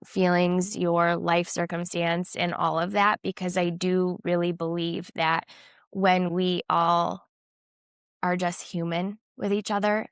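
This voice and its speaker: A woman's voice: lowish voice, clean tone, no echo, studio quality. A woman co-hosting a podcast, nasal from a cold, speaking in an earnest, faith-filled way. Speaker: podcast co-host. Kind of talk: candid casual chat.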